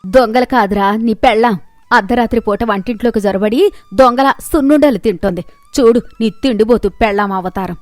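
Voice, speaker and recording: Woman voicing a loud, scolding older mother-in-law. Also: on the high side, fairly quick, no room sound, soft background music, lightly acted. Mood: angry scolding.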